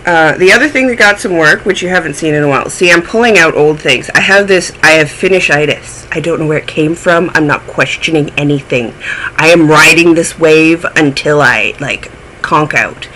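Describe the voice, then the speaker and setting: A man's voice: fairly high pitch, moderate pace, bright, forceful tone, a little hiss or hum, poor audio. A man hosting a knitting podcast, chatting in a rambling, self-deprecating way and sounding a little phlegmy. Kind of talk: casual chat.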